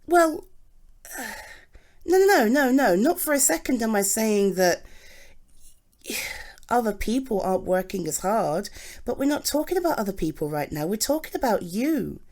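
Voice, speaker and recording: Slow-talking, expressive British girlfriend, acted by a woman. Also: a little high. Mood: reassuring, insistent. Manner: gushing, affectionate, halting with sighs and repeats. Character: doting, excitable, supportive girlfriend comforting her partner. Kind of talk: ASMR girlfriend roleplay, intimate one-sided scene.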